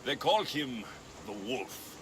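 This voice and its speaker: An actor playing a stoic Greek mercenary in a video game, speaking in a terse, blunt, brooding way. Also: male voice, sing-song, slow pace. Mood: terse, resolute. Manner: terse, low-key, blunt. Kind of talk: video game cutscene acting. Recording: loud music over the voice.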